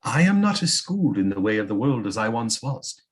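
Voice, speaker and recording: A man acting a devoted, cryptic ghost of an old seer in an audio play, husky and courtly. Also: mid-range voice, sing-song, brisk. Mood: devoted, encouraging. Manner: courtly, solemn, archaic diction. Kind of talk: theatrical audio drama acting.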